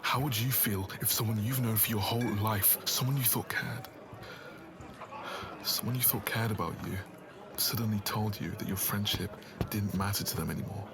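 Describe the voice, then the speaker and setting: An actor plays a wounded, jealous young man in a fantasy audio drama. His voice is on the low side, with an earnest, indignant, conversational delivery. Clear ambient noise sits behind him. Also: normal speed, lightly acted. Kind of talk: dramatic conversational roleplay voice acting.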